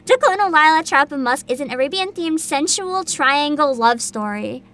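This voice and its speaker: A woman chatting casually as a livestream host, excitable and giggly, with dramatic emphasis. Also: high voice, moderate pace.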